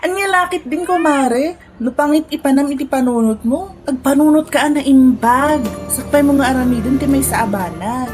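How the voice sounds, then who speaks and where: An actress with an Ilocano accent playing a concerned, pleading friend and co-worker in a comedy radio drama. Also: female voice, sits high, moderate pace, music in the background, lightly acted. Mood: worried, pleading.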